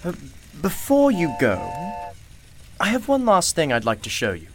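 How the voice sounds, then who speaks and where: A man's voice: fairly high pitch, wildly swooping pitch, moderate pace, a bit bright, slight background noise. A man playing a genial, knowing, mysterious shopkeeper in a radio play, with a courtly, theatrical and cryptic manner. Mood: inviting.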